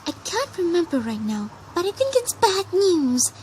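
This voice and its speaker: A shy pegasus mare played by a woman reading a story who frets and hesitates. The voice is squeaky and raised, wavering widely in pitch. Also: very animated, normal speed, darkish tone, a little hiss or hum. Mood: worried, hesitant. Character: timid, worried, soft-spoken female pegasus pony.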